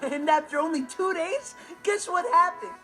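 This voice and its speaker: A young American man playing an earnest, excitable, gullible teenage boy in a sitcom, telling stories to the audience in an animated, emphatic way. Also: extremely high pitch, normal speed, over music. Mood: excited. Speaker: sitcom actor. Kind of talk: comedic monologue to the audience.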